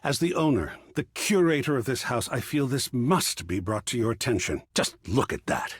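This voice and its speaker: A man's voice: medium pitch, lively intonation, normal speed, slightly rough, no echo, clean studio sound. A man voicing an effusive, refined art curator in a video game, theatrical and fussy, savoring florid phrases. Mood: fussy, concerned. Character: effusive, refined male art curator, an artwork come alive. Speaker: gallery curator character.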